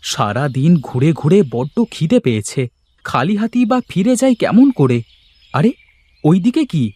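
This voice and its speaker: A man's voice: on the high side, sing-song, moderate pace, warm tone, husky, dry recording. A man voicing a poor, weary village basket weaver and father in a fairy-tale cartoon, lamenting and pleading as he speaks. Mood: musing, worried.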